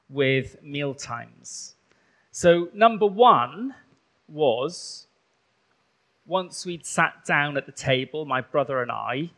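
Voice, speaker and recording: A bishop from rural Wales, a native Welsh speaker, preaches in a relaxed, conversational way in a church, often pausing on ums and uhs. His fairly high voice carries a gravelly edge. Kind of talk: sermon.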